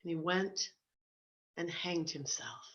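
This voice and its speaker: Low-voiced woman reading scripture, dull audio. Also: lively intonation, slow, a bit bright. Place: indoor room, on a video call. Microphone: video call. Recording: dull lo-fi video call audio.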